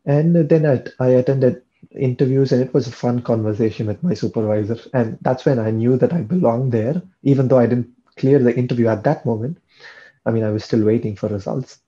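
A man's voice: medium pitch, a little fast, dark tone, dull audio. A young man from India, chatting in an interview about his studies, often repeating words as he thinks. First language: Tamil. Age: young adult. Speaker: guest, PhD student. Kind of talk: casual interview chat.

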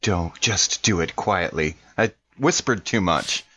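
A man's voice: low voice, lively intonation, slow, a bit bright, slightly rough, highs cut off. A man playing a tabletop roleplaying game, talking casually as he describes what his character does. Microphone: microphone.

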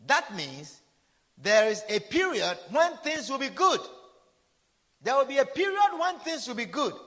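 A man in his fifties preaching with a high voice that leaps up and down in pitch. He repeats his points, peppers them with hallelujahs, and moves from loud proclamations to hushed asides. His voice rings with the long reverb of a big church hall. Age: 50s. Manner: preaching with repeated refrains, frequent hallelujahs, swinging from loud to soft. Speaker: pastor. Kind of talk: sermon.

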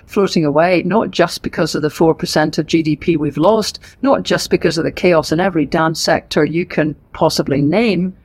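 A middle-aged woman with a Northern Irish accent, an author giving a lively, emphatic book talk to a live audience in a library. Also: on the low side, no room sound. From Northern Ireland. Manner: lively, emphatic and conversational, with asides. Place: library event room.

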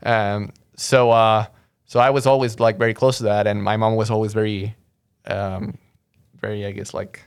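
A man's voice: fairly low pitch, level tone, normal speed, smooth. A young man from Mexico, a guest in a casual interview, telling his story in a rambling way full of fillers and restarts. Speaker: guest, audio engineer.

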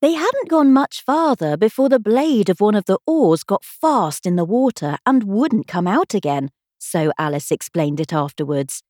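A woman reading a children's classic aloud as expressive storybook narration. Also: mid-range voice, moderate pace, hardly any pauses, dry recording, clean studio sound. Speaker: audiobook reader.

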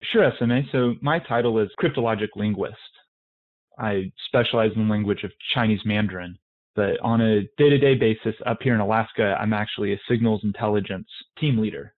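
Guy from colorado, calm soldier guest, lowish voice, sounds like a phone line. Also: fairly quick. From Colorado, United States. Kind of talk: interview answers. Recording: tinny phone-like remote line.

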